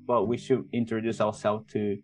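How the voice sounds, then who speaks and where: A man's voice: on the low side, level tone, moderate pace, darkish tone, faint music behind. A middle-aged man from Japan with a Japanese accent, a minister hosting a casual faith podcast with careful, simple phrasing. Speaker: head minister and podcast host.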